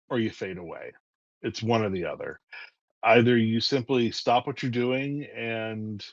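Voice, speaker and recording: Low-voiced man, dry lo-fi sound. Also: no echo. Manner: thoughtful, wry storytelling with restarts. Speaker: writer guest. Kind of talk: conversational interview. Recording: dull dry lo-fi audio.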